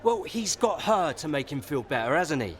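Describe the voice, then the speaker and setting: An actor playing a tipsy, sulky young son with hurt feelings in a radio soap. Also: male voice, a little high, sing-song, normal speed. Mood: sulky, drunk.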